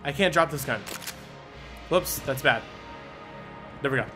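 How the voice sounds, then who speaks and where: A young man giving casual, excitable commentary while playing a video game, often exclaiming and stumbling over his words. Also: mid-range voice, unhurried. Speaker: gaming YouTuber. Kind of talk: casual let's play commentary. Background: game music.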